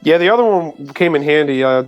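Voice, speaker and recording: A man's voice: on the high side, expressive, fairly quick, smooth, no room sound, lo-fi sound, faint music behind. A man playing in a tabletop roleplaying game, chatting casually and answering as his character.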